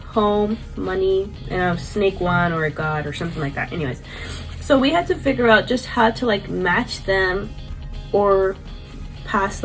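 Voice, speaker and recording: A woman's voice: medium pitch, low-quality recording. Stuffy-voiced young woman, chatty recap. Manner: rambling, filler-heavy, chatty. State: stuffy, congested from a cold. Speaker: vlogger and paintball player. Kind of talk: casual recap vlog.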